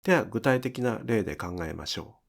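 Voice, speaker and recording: A man's voice: on the low side, lively intonation, normal speed, creaky. A man giving scripted instructional narration as a pronunciation teacher, enunciating carefully step by step.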